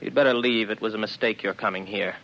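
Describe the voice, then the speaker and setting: Low, creaky weary space officer. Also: male voice, fairly even intonation, quick pace, a bit bright. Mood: bitter, sardonic. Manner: sardonic, brooding, talking to himself. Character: weary, isolated male space officer doubting his own senses. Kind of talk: 1950s radio drama acting. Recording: noisy, dull vintage radio recording.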